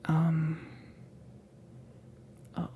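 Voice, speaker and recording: A woman voicing a flustered, needy female alien crewmate in an ASMR roleplay, with halting, nervous, breathy whispers. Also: narrow, tinny audio, slight background noise. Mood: flustered, hesitant.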